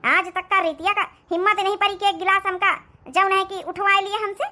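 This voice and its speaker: Lady doing a bossy old awadhi saas for a cartoon, super high sharp voice, scornful n scolding. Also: flat delivery, a little fast. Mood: haughty, scolding. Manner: haughty, scolding, rustic. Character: scheming, domineering old village mother-in-law, scornful and bossy. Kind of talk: Hindi moral-story cartoon comedy acting.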